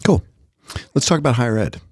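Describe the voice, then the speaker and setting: A man's voice: mid-range voice, expressive, fairly quick, a little mellow, breathy edge, no room sound, studio quality. A man co-hosting a podcast, chatting casually about the news with jokey asides. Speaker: podcast co-host.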